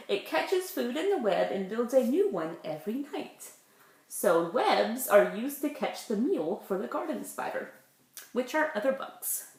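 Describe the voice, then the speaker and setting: A woman, a preschool teacher reading aloud to young children with simple, chatty explanations. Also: fairly high pitch. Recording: echoey room.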